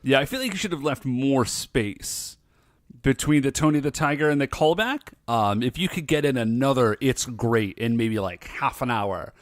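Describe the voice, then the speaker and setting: A man's voice: medium pitch, expressive, moderate pace, no echo, clean studio sound. A man co-hosting a podcast, chatting casually in a playful, teasing way. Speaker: podcast co-host. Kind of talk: casual chat. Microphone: recording earphones, studio mic.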